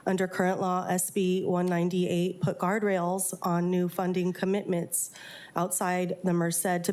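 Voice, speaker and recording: A woman's voice: on the low side, darkish tone. A woman with a stuffy nose from a cold, giving a prepared statement as a public commenter. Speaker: public commenter at a council meeting.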